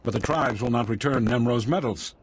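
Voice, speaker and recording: A man voicing a halting husky alien chief. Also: mid-range voice, lightly acted. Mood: pleading. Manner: halting, broken phrasing.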